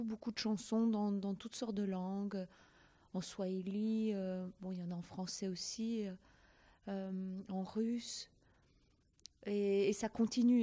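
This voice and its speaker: A woman with a mellow, dark-toned voice describes her work as a singer in hospitals. She speaks in an easy, warm way and laughs now and then as she goes. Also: mid-range voice. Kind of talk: self-introduction at a panel.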